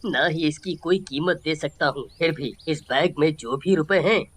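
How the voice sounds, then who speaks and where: A woman's voice: low-pitched, moderate pace, husky, voice pushed down. Woman as a grave, heartfelt shop owner. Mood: grateful. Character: distressed, grateful shop-owner father of an injured son.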